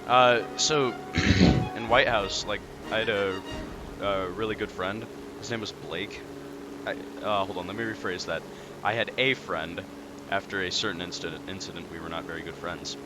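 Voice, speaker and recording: A young man telling stories in a casual, halting, informal chat. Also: low-pitched, steady tone, over music, rough recording. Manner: halting, filler-heavy, informal. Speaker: storyteller on a gaming channel.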